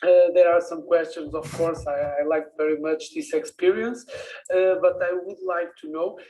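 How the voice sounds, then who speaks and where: A man from Portugal who runs a company, asking a question slowly and hesitantly. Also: on the high side, fairly even intonation, warm tone, low-quality recording. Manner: slow, hesitant. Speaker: company director. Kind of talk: prepared video question.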